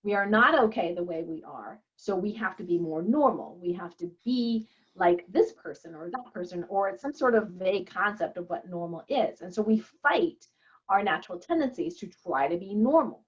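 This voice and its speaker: A woman's voice: on the low side, lively intonation, moderate pace, dull audio. A woman whose first language is Chinese, giving a casual interview as a guest in a chatty, digressive way. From Canada. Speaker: interview guest, physician and author.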